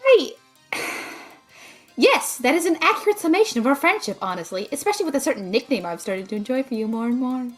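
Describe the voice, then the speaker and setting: Woman acting as sardonic magical sidekick woman, slightly high, animated pitch, teasing, precise, fantasy audio drama. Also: brisk, faint music behind. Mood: dry teasing.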